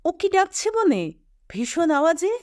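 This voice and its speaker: A woman voicing an excitable, easily amazed young male engineer in an audio story, exclaiming with wonder. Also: very high voice, measured pace, no room sound, studio quality, pitched above the performer's own voice, exaggerated voice. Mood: startled amazement.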